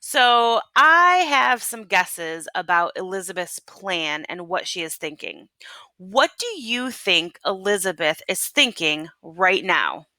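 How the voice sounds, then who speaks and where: A woman's voice: lowish voice, expressive, takes it slowly, bright, forceful tone, dry recording. A woman teacher reading a picture book aloud expressively and prompting young listeners with questions. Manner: instructional, prompting. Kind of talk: expressive storytelling with questions to children.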